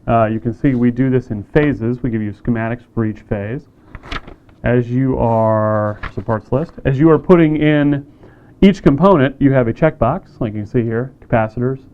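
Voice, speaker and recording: A man casually demonstrating a radio kit, plain-spoken and relaxed, with frequent fillers. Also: medium pitch, dull audio. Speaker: company staff demonstrating a kit.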